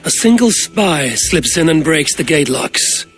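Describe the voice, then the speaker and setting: An actor playing a confident, shrewd Norse warrior in a video game cutscene, with a dry, teasing manner. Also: male voice, on the high side, animated, a little slow, fairly bright tone, faint music behind. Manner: dry, teasing, self-assured. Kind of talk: video game cinematic acting.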